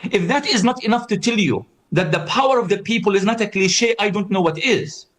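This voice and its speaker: High-voiced impassioned male panel speaker. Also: brisk, fairly bright tone. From Palestine. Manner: impassioned, repeats words for emphasis. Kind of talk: conference speech.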